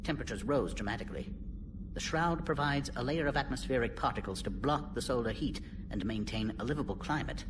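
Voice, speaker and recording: A brisk alien scientist played by a male actor in a video game, dryly musing in clipped, academic phrases. His pitch swings widely, and he sounds set back from the mic amid clear noise. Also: lively intonation, lightly acted. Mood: dry, explanatory. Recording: distant voice over clear background noise.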